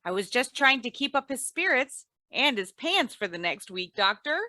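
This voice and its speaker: Woman playing a bright, high-voiced radio-comedy housewife. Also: very animated, moderate pace, a little hiss or hum. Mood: gently teasing. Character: patient, sensible, gently teasing housewife. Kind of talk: old-time radio comedy acting.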